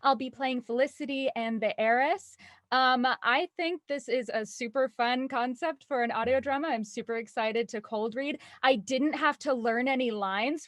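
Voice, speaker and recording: Female actor, high bright-eyed chatter, enthusiastic, casual, dry recording. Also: no echo. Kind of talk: casual self-introduction.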